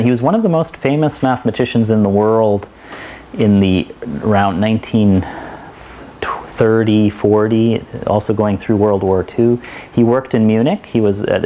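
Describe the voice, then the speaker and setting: Deep-voiced male university lecturer. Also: warm tone, slight background noise. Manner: digressive, many restarts and asides. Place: lecture hall.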